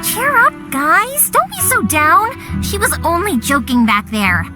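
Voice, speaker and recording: A woman's voice: extremely high pitch, big pitch swings, unhurried, exaggerated voice. A woman voicing a cheerful young fireworks maker who encourages children in an upbeat way. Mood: encouraging. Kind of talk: video game cutscene voice acting. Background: game music.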